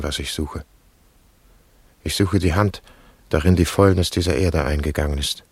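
An actor playing a grieving shoemaker in a radio play, speaking in halting, weighty phrases with a gravelly voice. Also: male voice, deep voice, no room sound. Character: grieving shoemaker, a man mourning and searching. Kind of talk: slow solemn radio play recitation.

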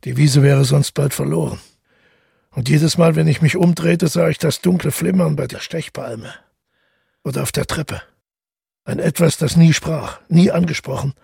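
Gravelly, haunted man confessing. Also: mid-range voice, warm tone, no echo, studio quality, heavily acted. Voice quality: gravelly, husky. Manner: measured, brooding, with deliberate pauses. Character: tall, haunted man calmly confessing to a killing. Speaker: narrator. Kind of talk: literary radio drama monologue.

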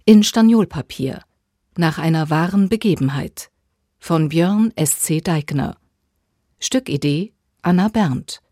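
A woman's voice: on the low side, dark tone, no room sound, clean studio sound. A woman reading scripted radio drama credits in a sober, plainly factual manner. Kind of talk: scripted announcement.

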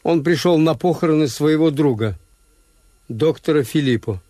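Усталый ироничный актёр в роли рассказчик, радиоспектакль. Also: male voice, a little high, moderate pace, mellow, lightly acted. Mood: dry, defiant. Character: weary, ironic male hotel owner recounting events. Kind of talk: Soviet radio drama narration and dialogue.

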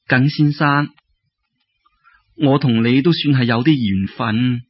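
A man voicing a timid, gentle young maiden with a Cantonese accent, hesitant and polite, in a told folk tale. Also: medium pitch, slow, slightly rough, no room sound. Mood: wistful, anxious. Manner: hesitant, polite, soft-hearted. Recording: dull lo-fi radio-like recording.

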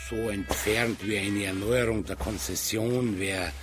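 An actor playing a sly police detective in a radio drama, pointedly polite, feigning innocence while quietly threatening. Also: male voice, fairly low pitch, steady tone, a little slow, over music, lightly acted. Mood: slyly menacing. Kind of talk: naturalistic radio drama acting.

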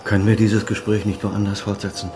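A man's voice: lowish voice, little pitch movement, a little fast, natural voice. An actor playing a stern, moralizing older father, reproachful and probing, in a naturalistic radio drama. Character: stern, moralizing elderly father, former chief physician.

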